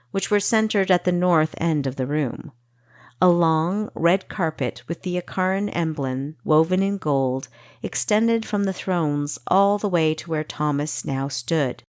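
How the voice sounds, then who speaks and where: Female audiobook narrator for fantasy: slow, measured, expressive, on the deeper side. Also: no echo, clean studio sound.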